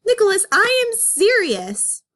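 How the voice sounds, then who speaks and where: A woman's voice: extremely high pitch, wildly swooping pitch, a little slow, a bit bright, voice pushed up, exaggerated voice. A woman voicing an earnest, anxious female cartoon rabbit from a fan comic, pleading and melodramatic. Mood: insistent.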